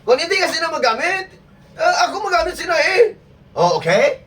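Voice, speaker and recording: A man's voice: very high-pitched, sing-song, normal speed, fairly bright tone, exaggerated voice. A man with an Ilonggo accent playing a grumbling, defensive, tight-fisted working husband in a comedy skit, exclaiming and complaining. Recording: distant mic.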